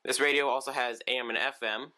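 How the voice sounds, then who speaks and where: A man's voice: medium pitch, steady tone, quick pace, bright, forceful tone. A man casually showing his weather radio collection on video, rambling with lots of ums and restarts. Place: room at home with shelves of radios.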